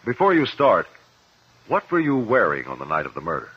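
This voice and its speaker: An actor with an American accent playing a composed, probing courtroom investigator in a 1950s radio drama, speaking in a deliberate, formal, precise way. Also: male voice, on the low side, huge pitch swings, breathy edge, full character voice. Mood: calm, probing. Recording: muffled old 1950s radio recording.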